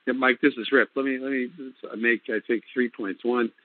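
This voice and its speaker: A man giving an unscripted answer on a telephone conference call, halting and repeating his words. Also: medium pitch, fairly even intonation, no echo. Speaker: speaker responding on a conference call. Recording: tinny, narrowband phone line.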